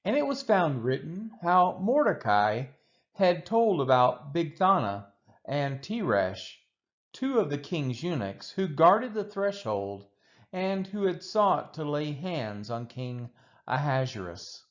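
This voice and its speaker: A man gives an expressive reading of scripture in measured, deliberate phrases. His pace is slow and his intonation rises and falls widely. The recording sounds a little dull and lo-fi. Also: on the high side. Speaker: scripture reader.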